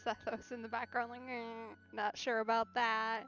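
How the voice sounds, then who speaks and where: A woman game streamer giving casual, gushing reaction commentary full of soft exclamations. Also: a little high, flat delivery, normal speed, creaky. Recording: dull band-limited sound, music behind. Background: game music.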